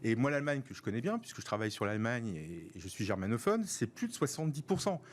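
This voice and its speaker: Guest, man in his fifties, from France, measured and explanatory, mid-pitched voice. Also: big pitch swings, a little hiss or hum. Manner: measured, explanatory, cites figures. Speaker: guest, network organizer. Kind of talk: radio interview answers.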